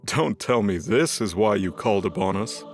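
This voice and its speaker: A man's voice: on the low side, lively intonation, normal speed. Male actor as arrogant divine emperor, bored, scornful, theatrical, audio drama over music. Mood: bored, flippant. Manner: disdainful, theatrical sighing.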